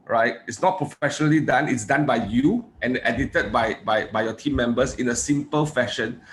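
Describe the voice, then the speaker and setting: A man facilitating a webinar, asking questions with brisk prompts and a frequent "right". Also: mid-range voice, fairly bright tone, a little hiss or hum. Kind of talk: interview questions, casual. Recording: echoey room.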